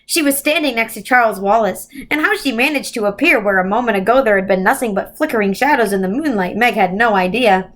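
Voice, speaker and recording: A woman reading a children's novel aloud in an expressive storytelling way. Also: a little high, fast.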